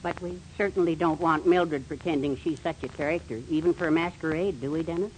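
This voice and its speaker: An actor playing a meek, henpecked middle-aged husband in 1940s radio comedy, mild, hesitant and wistful. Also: male voice, a little high, steady tone, a little fast, close to an everyday voice. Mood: confiding. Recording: faintly noisy 1940s radio recording.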